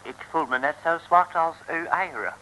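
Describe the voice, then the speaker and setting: A man's voice: on the high side, moderate pace, bright, forceful tone, rough recording. A man. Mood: cheerfully polite. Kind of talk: 1960s radio play acting. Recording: hissy old radio play recording.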